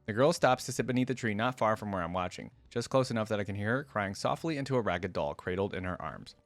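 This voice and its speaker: A shy, clumsy young fawn girl from a fantasy storybook, read by a man in a fairly low voice. The telling is gentle and hesitant, first person, in a dry close recording. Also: lively intonation, fast, no echo. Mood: nervous, self-coaxing. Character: shy, timid, clumsy young female fawn dream spirit.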